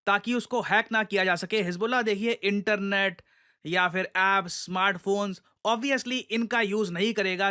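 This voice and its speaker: A man's voice: high-pitched, bright, forceful tone. A man with an Indian accent giving emphatic explanatory news commentary, addressing listeners directly and mixing in English phrases.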